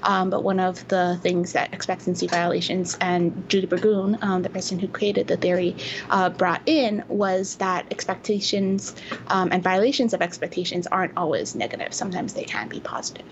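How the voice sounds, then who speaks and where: A woman's voice: on the low side, level tone, moderate pace, muffled recording, a little hiss or hum. Young woman from Hawaii, hesitant. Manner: hesitant, many ums, thoughtful. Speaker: guest researcher. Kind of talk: academic interview.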